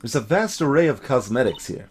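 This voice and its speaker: An earnest young defense lawyer in a video game, voiced by a man. He is dry and skeptical, with an animated rise and fall to his lines. A little noise sits behind him. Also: medium pitch, brisk, natural voice. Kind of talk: voiced visual novel dialogue.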